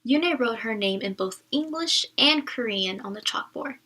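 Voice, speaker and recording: A woman reading a children's story aloud as a teacher, pausing to ask questions and explain words expressively. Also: fairly high pitch, animated, bright, forceful tone.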